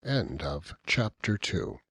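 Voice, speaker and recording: Husky, unhurried male audiobook narrator. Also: deep voice, sing-song, fairly bright tone. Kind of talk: expressive scripted literary narration.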